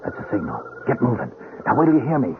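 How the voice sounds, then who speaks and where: A man playing a gruff, commanding police officer on a raid, speaking in clipped phrases in a radio drama. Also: mid-range voice, huge pitch swings, fast talker, narrow, tinny audio. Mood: brisk, bossy. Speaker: actor in a radio mystery drama. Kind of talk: 1970s-style radio drama acting. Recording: noisy, band-limited old radio broadcast.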